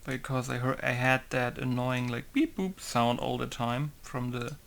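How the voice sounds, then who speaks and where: A man's voice: medium pitch, steady tone, measured pace, creaky, a bit bright. A young man modding a game, chatting casually in rambling asides while he works at his computer. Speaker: game modder. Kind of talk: casual working commentary.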